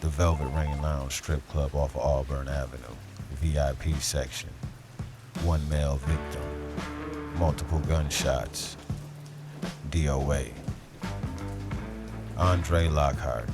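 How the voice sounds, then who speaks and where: Very deep brooding noir detective, acted by a man. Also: steady tone. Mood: brooding, knowing. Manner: measured, dramatic, terse. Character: brooding, street-smart male police detective narrating a noir mystery. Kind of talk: noir audio drama narration. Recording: voice over prominent lo-fi music.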